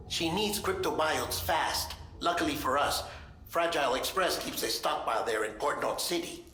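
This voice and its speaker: A man's voice: a little high, pushed and bright. An actor playing an analytical, anxious male colleague in a video game, explaining matters in an articulate, urgent way. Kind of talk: cinematic game dialogue. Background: music.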